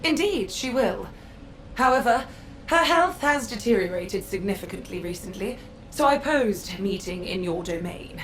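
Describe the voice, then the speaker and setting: A woman's voice: mid-range voice, expressive, moderate pace, a little hiss or hum, close to an everyday voice. A woman playing a condescending council Magister in a video game, with a haughty, formal, clipped delivery. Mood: haughty, composed.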